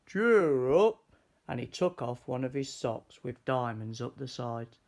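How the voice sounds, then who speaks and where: A man reading a children's story aloud in an expressive, deliberate, animated way. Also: mid-range voice, creaky. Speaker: storybook reader.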